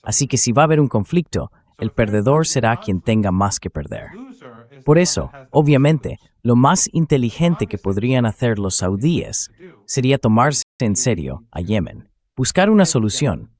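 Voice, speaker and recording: A man's voice: medium pitch, big pitch swings, normal speed, darkish tone. A man giving conversational, emphatic political commentary as an interview guest, often digressing as he talks.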